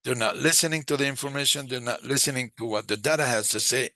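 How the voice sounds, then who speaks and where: A man giving a live interpretation of a webinar discussion, speaking in halting, phrase-by-phrase chunks. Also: mid-range voice, sharp tone, dry recording. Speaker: simultaneous interpreter.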